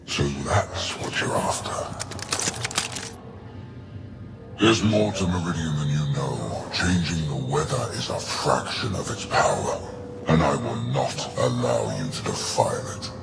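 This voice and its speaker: An actor playing a menacing, imperious male alien villain in a video game, haughty and gravelly. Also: extremely low pitch. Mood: haughty warning. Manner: measured, haughty, threatening. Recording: very loud music under voice.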